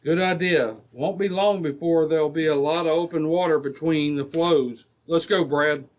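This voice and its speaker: A man's voice: on the high side, fairly even intonation, normal speed, close to an everyday voice. A man playing a brave, decisive Mountie sergeant in an old-time radio adventure, speaking with authority. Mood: steady, reassuring. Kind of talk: re-created old-time radio drama acting.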